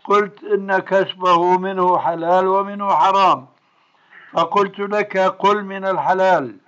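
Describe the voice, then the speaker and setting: A man's voice: high voice, fairly even intonation, a little slow, fairly bright tone. An older man, a religious scholar answering questions in a blunt, admonishing, insistent manner. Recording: muffled, noisy old cassette tape recording.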